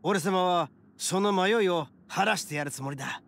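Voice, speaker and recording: A man playing a brash, kind-hearted young oni gang boss in an anime game, with rough, swaggering speech. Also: sits high, normal speed, fairly bright tone. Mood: reassuring. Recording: game music behind the voice.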